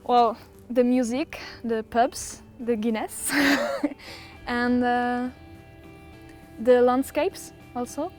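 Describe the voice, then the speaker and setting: A woman's voice: a little high, fairly even intonation, very slow, a little mellow, soft background music. A young woman from the south of France with a French accent, answering casually, searching for English words and often giggly. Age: young adult. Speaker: exchange student interviewee.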